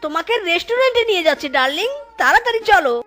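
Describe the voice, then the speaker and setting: Casting: whiny, childish, scheming little husband for a cartoon, extremely high and wildly swooping. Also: female voice, very animated, rapid-fire, heavily acted. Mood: eager, placating. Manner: exclamatory, whining, pleading. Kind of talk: animated children's cartoon dialogue. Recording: loud music behind the voice. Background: music.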